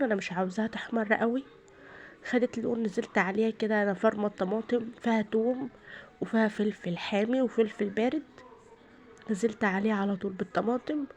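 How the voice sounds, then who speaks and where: Quick-talking Egyptian village woman, tired. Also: fairly high pitch, a little hiss or hum. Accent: Egyptian rural. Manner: chatty, explains each step to viewers. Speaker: home cooking vlogger. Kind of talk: casual cooking narration. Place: home kitchen.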